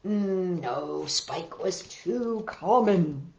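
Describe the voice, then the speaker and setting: A woman hosting a children's storytime, reading picture books aloud with expressive, exaggerated delivery. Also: lowish voice, extremely slow, creaky, dull audio. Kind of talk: animated picture-book read-aloud and sing-along for young children. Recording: roomy echo with guitar music.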